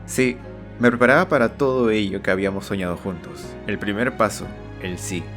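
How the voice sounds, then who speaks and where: Low-voiced young man narrating earnestly. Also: fairly quick. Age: young adult. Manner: earnest, confessional. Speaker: storyteller and channel host. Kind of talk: scripted autobiographical narration with acted dialogue. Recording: voice over background music.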